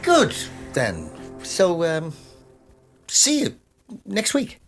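A workshop teacher in a radio drama, pushy and theatrical. The pitch swoops dramatically and the pace is slow and deliberate, with loud music underneath. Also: male voice, wildly swooping pitch, heavily acted. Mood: coaxing, reassuring. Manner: coaxing, theatrical. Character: charismatic, pushy male poetry workshop teacher. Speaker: actor. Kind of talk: naturalistic radio drama acting.

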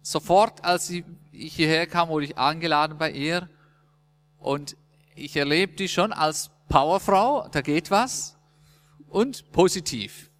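A man with a notably high voice hosts a church service interview, friendly and joking. He talks slowly, leaving room between phrases, and his voice rings with long reverb. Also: a bit bright. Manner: friendly, joking, unhurried questions. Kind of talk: casual interview.

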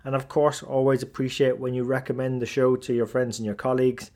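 A man's voice: mid-range voice, flat delivery, a little fast, mellow. A man hosting a podcast, introducing the episode and interviewing his guest in a friendly way with some fillers. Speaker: podcast host.

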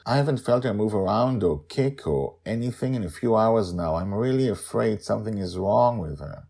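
Guy reading as an anxious shy expectant mother in an audio story, higher pitch, earnest, slightly husky. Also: expressive, normal speed, dark tone, heavily acted. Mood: worried. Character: anxious, shy, heavily pregnant woman.

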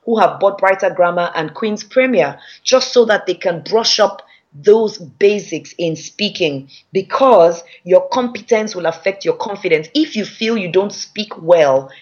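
A woman with a Nigerian accent, a public speaking trainer teaching a masterclass in an emphatic, lively way. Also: medium pitch, a little slow, highs cut off.

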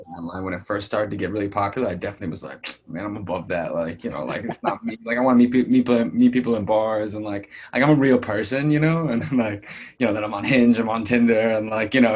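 A man's voice: on the low side, fast, husky. A man chatting casually in a rambling, informal way full of filler phrases. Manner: rambling, peppered with "like" and "you know". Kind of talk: casual chat.

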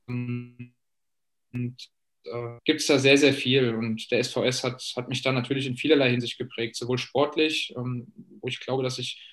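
A man's voice: mid-range voice, level tone, moderate pace, a bit bright, faint music behind. A man in his late twenties, a professional footballer, answering fan questions thoughtfully over a video call with many fillers and restarts. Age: late 20s.

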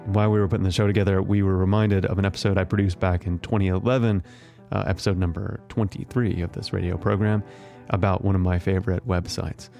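A man's voice: deep voice, flat delivery, fast talker, creaky, warm tone, faint music behind. An American man hosting a radio show in a scripted narration style.

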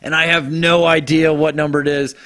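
Male host, raspy voice, easygoing chatter, dry close mic. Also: fairly high pitch, level tone, a little fast, sharp tone, no echo. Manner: laid-back, chatty, swears casually. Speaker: show host. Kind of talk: casual live-stream chat.

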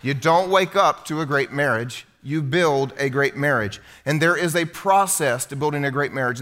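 Bright-voiced man preaching with humor. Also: on the high side. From United States. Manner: conversational, humorous preaching to a congregation. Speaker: pastor. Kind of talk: sermon. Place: church hall before a congregation.

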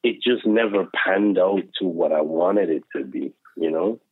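Deep-voiced man on a tinny line. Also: expressive, moderate pace, dry recording. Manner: earnest, self-assured, many fillers. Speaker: guest, social worker. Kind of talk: casual podcast chat. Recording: tinny phone-like line, dry.

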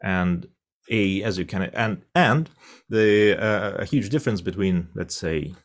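Deep-voiced man, thoughtful. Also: measured pace. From Russia. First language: Russian. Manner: thoughtful, many fillers and restarts. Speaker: interpreter. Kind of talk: conversational interview answer.

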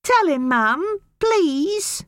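A woman's voice: expressive, unhurried, fairly bright tone, no echo. A woman voicing a young boy who pleads tearfully with his parents. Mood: pleading. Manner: pleading, high childish voice.

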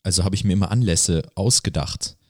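A man with a deep voice talks casually as a podcast co-host. His delivery is dry and tongue-in-cheek, recorded with very little room sound. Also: brisk, no echo. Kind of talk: casual chat.

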